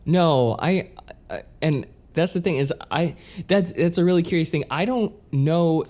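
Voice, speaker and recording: A man's voice: a little high, measured pace, a little mellow. An American man co-hosting a casual podcast chat. He rambles and restarts his sentences, padding them with plenty of filler words. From United States. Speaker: podcast co-host.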